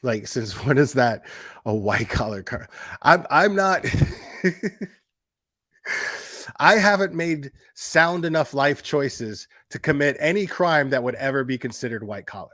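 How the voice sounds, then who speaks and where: A man's voice: mid-range voice, animated, measured pace, pushed and bright, dry recording, clean studio sound. A man giving casual, sarcastic reaction commentary, mocking and swearing, hammering points home through emphatic repetition. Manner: profane. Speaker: host of a commentary stream.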